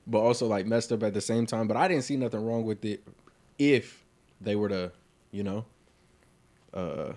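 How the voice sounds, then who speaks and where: A man in his twenties chatting on a podcast in a relaxed way, picking up speed when he explains something. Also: fairly low pitch, moderate pace, long pauses. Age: 20s. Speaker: podcast co-host. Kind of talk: casual chat.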